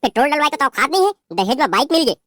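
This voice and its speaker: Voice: high-pitched, sing-song, racing, a bit bright, dry recording, clean studio sound. A performer voicing a spoiled, haughty young daughter-in-law with a Chhattisgarhi accent, scolding and rude in a cartoon. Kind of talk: cartoon voice acting.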